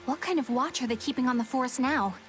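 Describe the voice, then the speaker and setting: Woman as an earnest, high-voiced young exorcist. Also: fast, lightly acted. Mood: polite, inquiring. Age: young adult. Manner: polite, sincere. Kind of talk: video game voice acting. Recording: music behind.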